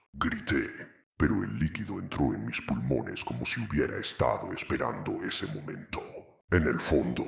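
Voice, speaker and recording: A man's voice: rumbling low, vocal fry, a bit bright. A man narrating horror stories in a dramatic, ominous way.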